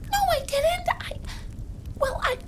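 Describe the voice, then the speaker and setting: A woman's voice: extremely high pitch, slow pace, noisy background. A woman voicing a timid, kind young magical creature in a family audio drama, hesitant and earnest. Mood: nervous, flustered. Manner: stammering, hesitant.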